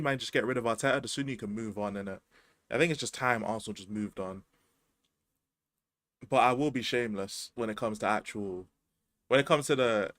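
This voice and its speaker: A man's voice: mid-range voice, a bit bright, no room sound. A man, chatting casually about football as a podcast host, slangy and rambling. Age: 20s.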